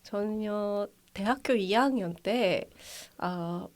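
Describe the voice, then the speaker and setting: A woman's voice: medium pitch, slow, a little mellow. A young woman from Incheon, co-hosting a podcast in casual chat and laughing often as she tells her stories. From Incheon, South Korea. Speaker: podcast co-host.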